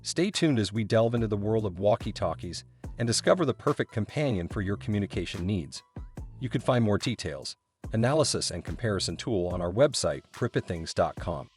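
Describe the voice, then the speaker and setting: A man with a fairly low, lively voice delivers scripted product review narration. His delivery is polished and promotional, rising and falling noticeably, over background music. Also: a little fast. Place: voice-over recording.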